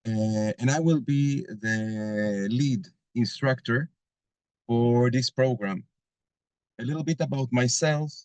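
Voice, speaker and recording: A man's voice: mid-range voice, slow pace, darkish tone, clear, resonant tone, no echo. Hesitant man presenting a webinar. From Argentina. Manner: hesitant, many filler sounds. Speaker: lead instructor.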